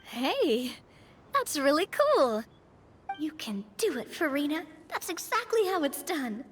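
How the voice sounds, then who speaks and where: A woman's voice: high voice, very animated, slow, heavy background noise, exaggerated voice. An actress playing a spirited, confident, friendly young female monster hunter and businesswoman in a video game. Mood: upbeat, welcoming. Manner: bubbly, confident, encouraging.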